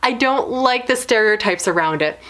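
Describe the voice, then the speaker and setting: A woman's voice: fairly high pitch, animated, moderate pace, fairly bright tone. Woman reviewing books, chatty and considered. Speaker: book reviewer. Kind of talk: casual video book review.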